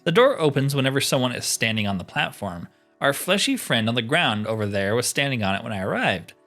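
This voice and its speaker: Man playing a trapped fantasy game fighter, blunt, wry, matter-of-fact, slightly impatient, medium pitch, music behind. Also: very animated, brisk, fairly bright tone. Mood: wry, businesslike. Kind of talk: reading game dialogue in character.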